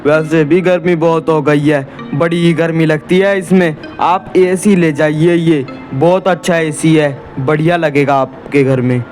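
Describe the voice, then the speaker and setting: A man voicing an eerie old ghost shopkeeper in a cartoon horror comedy, drawing out his slow sales patter. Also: fairly high pitch, steady tone, a little mellow, soft background music, voice pushed up, natural voice. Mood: coaxing salesman. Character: eerie old male ghost shopkeeper peddling air conditioners.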